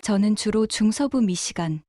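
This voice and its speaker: Fast-reading woman with a mellow voice. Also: mid-range voice, expressive. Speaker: interpreter voice-over. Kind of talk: read translation.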